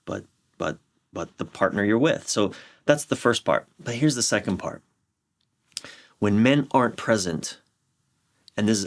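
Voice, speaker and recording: Low-voiced American man, reflective and halting. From United States. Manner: halting, repeats phrases for emphasis, candid and profane. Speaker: relationship coach, podcast guest. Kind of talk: reflective conversational interview explanation.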